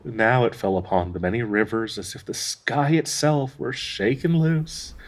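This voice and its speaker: A man playing a gentle, grieving dryad in an intimate audio roleplay, speaking in a tender, halting, wistful way with a husky, breathy voice. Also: mid-range voice, close to an everyday voice. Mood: troubled, sorrowful. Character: gentle, grieving male dryad devoted to a sick companion.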